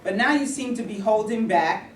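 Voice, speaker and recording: A woman's voice: a little high, lively intonation, moderate pace, lightly acted. A woman reading the part of a reverend who speaks respectfully but presses his leader. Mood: pressing. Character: impatient male reverend follower. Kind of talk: staged reading. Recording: echoey room, read from far off, noisy.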